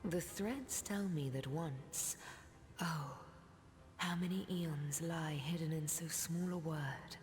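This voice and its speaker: Ancient, slow, cavernous-sounding mystic seer. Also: female voice, low voice, a little mellow, faint music behind. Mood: mysterious, solemn. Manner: solemn, cryptic, archaic phrasing. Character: ancient mystical female seer who weaves threads of time. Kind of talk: scripted fantasy game dialogue. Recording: long cavernous reverb.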